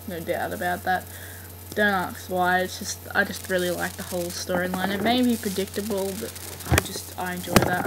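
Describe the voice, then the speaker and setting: A woman from Australia with an Australian accent, answering fan questions in a casual, rambling way with frequent ums. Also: mid-range voice. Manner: rambling, hesitant, many ums. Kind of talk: casual voice meme monologue. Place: home room. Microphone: built-in laptop mic. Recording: noisy home laptop recording.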